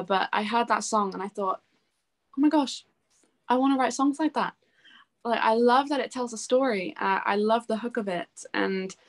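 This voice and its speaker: A young woman talking informally as an interview guest, chatty and peppering her sentences with casual filler words. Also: mid-range voice. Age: young adult. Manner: chatty, informal, often says "like" and "you know". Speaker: interview guest, singer-songwriter.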